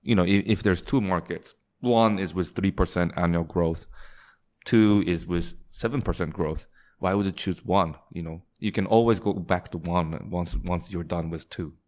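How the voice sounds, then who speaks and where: A man's voice: sits low, normal speed, darkish tone. A man who grew up in Singapore, a startup founder chatting casually as an interview guest and often restarting his phrases. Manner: restarts phrases, quotes others in a lighter voice.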